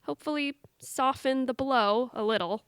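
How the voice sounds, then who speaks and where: A woman's voice: high-pitched, moderate pace, fairly bright tone, clean tone, dry recording. A woman acting in an audio drama as an anxious, self-doubting younger sister who has come home to her family. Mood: nervous, self-doubting.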